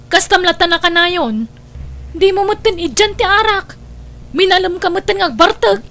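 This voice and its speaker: A woman acts out a defiant, nagging Ilocano wife in a domestic radio drama. Her voice is very high, and she is insistent and full of reproach. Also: moderate pace, a bit bright. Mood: reproachful. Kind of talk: Ilocano radio-style family drama acting.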